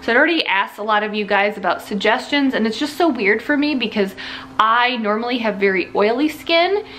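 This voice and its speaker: A woman's voice: on the high side, expressive, a little fast, a bit bright, slight background noise, poor audio. A young woman from Ohio giving a casual, rambling vlog update, restarting sentences and laughing a little. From Ohio, United States. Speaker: vlogger.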